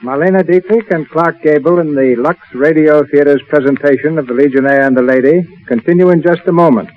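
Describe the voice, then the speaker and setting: A man announces from a script in 1930s radio style. His voice sits slightly high. The recording is narrow and tinny with faint noise. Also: fairly quick.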